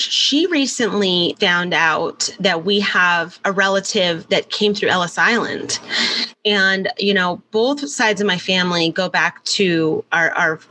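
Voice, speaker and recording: Bright-voiced chatty woman guest. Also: medium pitch, a little hiss or hum, low-quality recording. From born in Canada, raised in California. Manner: chatty, rambling with frequent fillers. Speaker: interview guest. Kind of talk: casual conversational interview.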